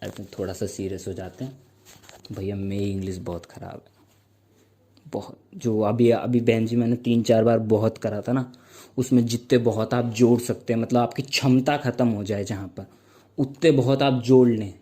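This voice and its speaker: A young man performing a stand-up comedy set, telling stories with slow mock-grand stretches and playful sound effects. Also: medium pitch, steady tone, mellow.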